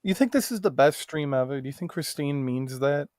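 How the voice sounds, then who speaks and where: A man co-hosting a casual livestream chat, brash and profane, with sudden loud jumps. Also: a little high, expressive, a little fast, no echo, clean studio sound. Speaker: livestream co-host.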